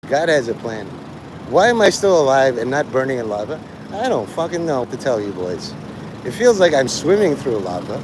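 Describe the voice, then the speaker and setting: Rambling man, clear traffic noise behind him. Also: a little high. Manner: digressive, profane, blunt. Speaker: live streamer. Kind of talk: rambling casual monologue to listeners.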